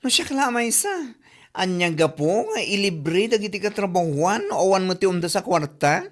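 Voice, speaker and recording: A woman's voice: mid-range voice, animated, normal speed, lightly acted. A woman playing a gossipy, mocking coworker in a comedy drama, with an exaggerated Ilocano Filipino accent. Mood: scornful gossip.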